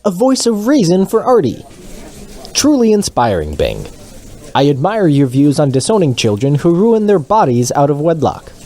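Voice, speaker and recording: A man's voice: a little high, wildly swooping pitch, moderate pace, warm tone. Man playing a pompous, wildly inflected news anchor. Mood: mock-inspired. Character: grandly approving, sanctimonious male radio anchor. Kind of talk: mock radio news.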